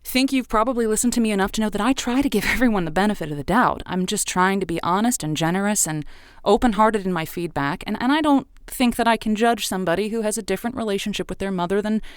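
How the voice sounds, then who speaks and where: A woman's voice: mid-range voice, fast talker, clean tone, no room sound, clean studio sound, close to an everyday voice. A woman playing a stammering radio advice host. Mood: flustered. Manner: hesitant, stumbling and restarting. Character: waffling, people-pleasing female radio advice host. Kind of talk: improvised audio drama.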